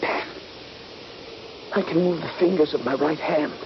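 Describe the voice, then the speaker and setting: Trapped soldier, dull noisy radio recording. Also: male voice, fairly high pitch, measured pace. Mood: dazed, strained. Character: trapped, injured Union soldier recalling his ordeal. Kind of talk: radio drama acting and first-person narration.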